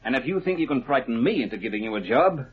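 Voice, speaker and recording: An actor playing an indignant, haughty attorney in a 1950s radio drama, speaking in a curt, affronted manner. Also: male voice, mid-range voice, animated, fast, husky. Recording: tinny, band-limited vintage radio recording.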